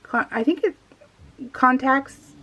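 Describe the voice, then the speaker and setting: A middle-aged woman chatting casually and informally as she narrates a craft vlog, telling stories in an animated, giggly way. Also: sits high, slow, muffled recording. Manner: giggly, animated storytelling with quoted exchanges. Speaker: craft vlogger.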